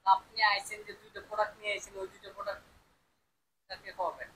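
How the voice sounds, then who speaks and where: A woman's voice: medium pitch, steady tone, racing, pushed and bright, slight background noise, lightly acted, low-quality recording. A woman acting as a pushy, lecherous married male customer with an insinuating manner, in an amateur audio drama. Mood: pushy, leering. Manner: leering, insinuating.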